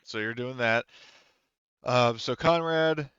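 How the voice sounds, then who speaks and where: A man acting as game master, narrating a tabletop game in a halting, conversational, sweary way. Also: mid-range voice, fairly bright tone, smooth, no echo, lo-fi sound.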